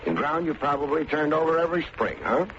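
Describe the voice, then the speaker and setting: An actor playing a wry, wisecracking American private detective and narrator in an old-time radio drama. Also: male voice, fairly high pitch, very animated, fast. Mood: matter-of-fact, pressing. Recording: muffled, noisy old radio recording.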